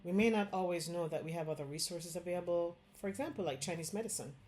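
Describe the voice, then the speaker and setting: A woman's voice: lowish voice, fast talker, a little mellow, slight background noise. A middle-aged woman with a Jamaican accent, a Chinese medicine doctor talking earnestly straight to her viewers, lifting her voice on key points. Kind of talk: direct-to-camera health talk.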